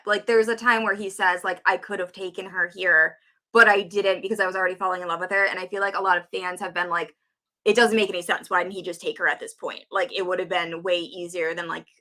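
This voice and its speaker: A woman's voice: medium pitch, sharp tone. A woman co-hosting a book discussion, talking in an informal, enthusiastic, conversational way. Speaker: book review show co-host. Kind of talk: casual chat about books.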